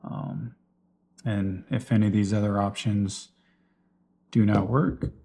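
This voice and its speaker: Mellow low-voiced man explaining gear. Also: flat delivery, slow pace. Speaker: vlogger presenting personal radio gear. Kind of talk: casual unscripted gear walkthrough.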